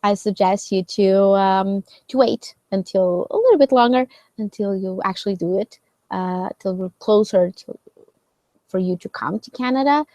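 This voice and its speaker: A woman's voice: mid-range voice, moderate pace, warm tone, dry recording. A woman hosting a live question session, explaining casually with many fillers and "right?" checks. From Portugal. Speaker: host answering viewer questions.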